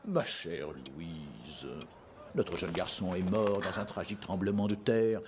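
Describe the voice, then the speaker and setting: An actor playing a stuffy, doubting gentleman husband in a cartoon, stiff and formal in manner. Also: low-pitched, lively intonation, slow, noisy background. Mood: sad, doubting. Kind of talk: dubbed cartoon acting.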